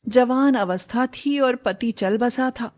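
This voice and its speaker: A woman's voice: fairly high pitch, slow pace, a little mellow. A woman narrating a scripted moral story in a dramatic storytelling style.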